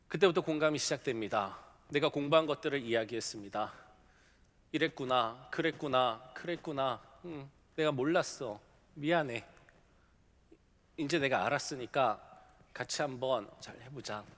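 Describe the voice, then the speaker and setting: A middle-aged male pastor preaching a sermon, telling a story and dropping from loud to hushed. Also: medium pitch. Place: large church sanctuary. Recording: long hall reverb.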